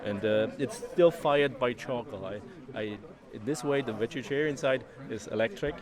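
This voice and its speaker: A middle-aged German man with a German accent, a street vendor explaining his work casually in halting non-native English. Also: mid-range voice. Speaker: street sausage vendor being interviewed. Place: outdoors on a town street. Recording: busy street, crowd noise behind. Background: street crowd.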